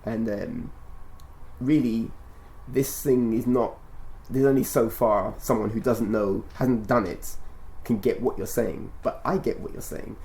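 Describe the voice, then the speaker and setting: A man hosting a podcast interview in a measured, instructive way, rephrasing his points and prompting his guest as he talks. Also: medium pitch, steady tone, darkish tone, rough recording. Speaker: radio show and podcast host. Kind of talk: conversational interview, explaining and prompting.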